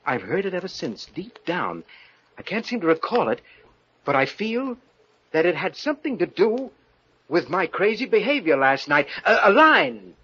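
An actor playing an anguished, newly married professor in halting, theatrical old radio thriller style, on a noisy vintage recording. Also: male voice, sits high, sing-song, a bit bright, husky, muffled recording, heavily acted. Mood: dazed, brooding. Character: anguished new bridegroom, professor haunted by dark urges. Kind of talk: 1940s radio thriller acting.

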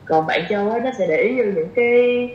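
A woman's voice: medium pitch, fairly quick, dull audio. A woman with a mid-range voice shares opinions in a casual conversation as a guest. She explains things step by step and repeats phrases for emphasis. The sound is roomy, with faint noise behind her. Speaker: podcast guest. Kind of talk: casual chat, sharing opinions.

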